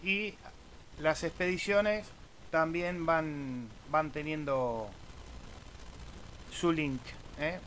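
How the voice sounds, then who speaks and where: A man's voice: fairly high pitch, extremely slow, pauses often, fairly bright tone, low-quality recording. A man giving an informal, casual screen-share tutorial as an amateur radio YouTuber, often tagging phrases with a questioning "eh?". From Argentina. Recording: clear background noise.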